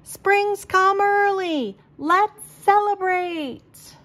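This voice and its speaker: A woman voicing an eager, cheeky young male groundhog in an excited, exclaiming storybook manner. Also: very high voice, drawn-out words, pitched above the performer's own voice, heavily acted. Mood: gleeful shouting.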